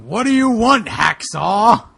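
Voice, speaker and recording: A man's voice: high-pitched, big pitch swings, slow pace, creaky, over loud music, exaggerated voice. A man voicing a weary, annoyed wrestler turned detective in a comic fan fiction reading. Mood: weary annoyance.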